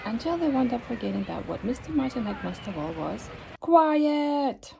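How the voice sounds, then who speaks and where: A woman reading a children's story aloud expressively, with dramatic emphasis and pauses. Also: on the high side, very animated, creaky, warm tone, slight background noise. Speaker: storybook reader.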